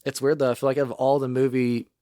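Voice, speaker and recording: A man's voice: mid-range voice, flat delivery, fast talker, dark tone, dry recording, studio quality. Laid-back, slangy man podcast co-host. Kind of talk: casual chat.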